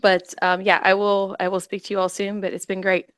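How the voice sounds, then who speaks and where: A woman's voice: medium pitch, little pitch movement, brisk, fairly bright tone, clear, resonant tone, dry recording. A woman co-hosting a casual online discussion, speaking in a hesitant, informal way. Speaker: co-host. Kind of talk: casual chat.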